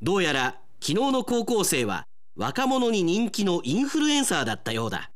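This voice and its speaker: A man's voice: high-pitched, wildly swooping pitch, moderate pace. A man reading dramatic scripted narration for a Japanese radio drama.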